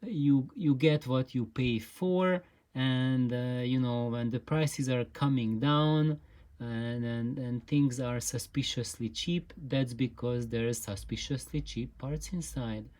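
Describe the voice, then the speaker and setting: Slow, halting explainer, medium pitch. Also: darkish tone. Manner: halting, hesitant delivery with frequent pauses and repeated words. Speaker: host of an audio gear channel. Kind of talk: informal explainer talk.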